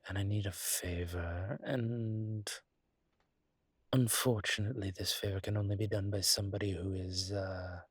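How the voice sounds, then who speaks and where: A man playing a courteous, wry vampire with formal, hesitant phrasing and a husky voice in an audio roleplay. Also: on the low side, dry recording, studio quality, heavily acted. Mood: sheepish, persuasive. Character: courteous, wry adult male vampire wary of daylight. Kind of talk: one-sided audio roleplay acting.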